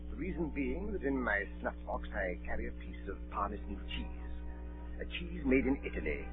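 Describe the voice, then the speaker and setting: An actor playing a kindly, wry gentleman doctor with an avuncular manner in a 1930s radio drama. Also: mid-range voice, sing-song, normal speed, close to an everyday voice. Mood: kindly, amused. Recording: tinny 1930s radio with music.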